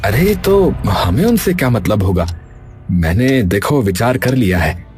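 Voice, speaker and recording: A man's voice: on the low side, big pitch swings, measured pace. A man voicing a kind, eager village jalebi seller in a cartoon story. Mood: earnest. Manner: eager, pleading and hawking loudly. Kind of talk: cartoon voice acting. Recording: faint music behind.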